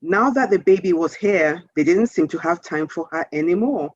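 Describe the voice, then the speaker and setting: A woman author reading a children's story aloud in a measured, expressive storytelling manner. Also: fairly low pitch, dry recording, muffled recording.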